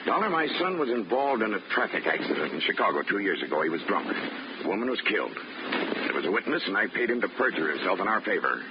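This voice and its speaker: A protective, weighty American father in vintage radio drama. His male voice is very deep with wide inflection. He speaks formally, through loud noise on an old recording. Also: big pitch swings, quick pace, dull audio. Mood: grave, confessing. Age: middle-aged. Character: grave, protective well-to-do father confessing guilt. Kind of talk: 1950s radio drama acting.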